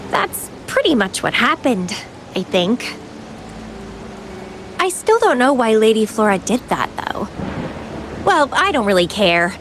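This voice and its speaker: A woman's voice: fairly high pitch, big pitch swings, unhurried, long pauses, background noise. An actress playing a playful, carefree, enigmatic young woman in a video game, with a teasing, languid, theatrical delivery. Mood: nonchalant.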